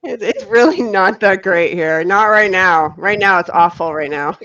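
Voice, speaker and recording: A woman with a North American accent, explaining things to a learner in casual conversation, in measured phrases. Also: medium pitch, animated, fairly bright tone. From Canada and the northeastern US. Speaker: conversation partner. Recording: dull lo-fi online call audio.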